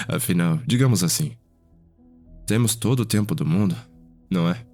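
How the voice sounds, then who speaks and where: A gentle, refined vampire nobleman played by a man with a low voice. He talks in a measured, formal way in an ASMR roleplay, over faint music. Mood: fond, amused. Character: genial, refined elder vampire brother, wistful nobleman. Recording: music behind the voice.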